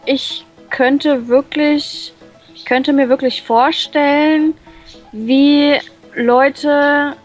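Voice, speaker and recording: A woman reading a visual novel aloud and translating it, with many fillers and pauses. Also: high voice, steady tone, soft background music, poor audio. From Germany. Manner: hesitant, many fillers, laughs often.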